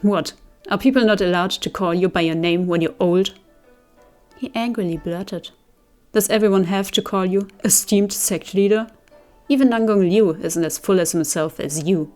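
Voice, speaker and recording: Woman putting on a loud hotheaded young warrior dude, snappy and indignant, soft music behind. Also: on the low side, steady tone, moderate pace, close to an everyday voice. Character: brash hot-tempered young male warrior.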